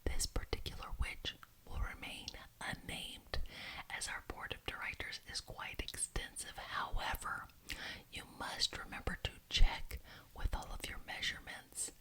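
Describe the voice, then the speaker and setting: A woman whispering breathily in an ASMR roleplay as a polite, officious witch addressing a meeting, deliberately repeating some words. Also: low-quality recording. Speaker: ASMR roleplayer as head of a witches' homeowners association.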